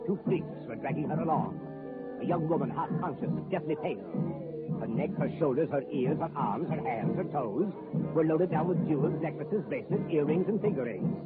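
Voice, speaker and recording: Male actor as Indian guide, grave, formal, deliberate, dramatic 1930s radio narration, thin phone-like sound, music underneath. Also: fairly high pitch, animated, natural voice. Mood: solemn, dramatic. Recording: tinny old radio broadcast, music behind.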